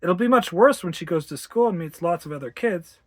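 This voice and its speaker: A woman's voice: on the low side, lively intonation, a little fast, darkish tone. A woman voicing a breezy, teasing husband with a light, matter-of-fact manner in a story reading.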